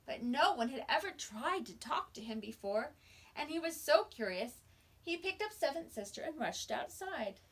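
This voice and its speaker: A woman teacher reading a picture book aloud to young children with expressive storytelling and dramatic pauses. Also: high voice. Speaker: kindergarten teacher.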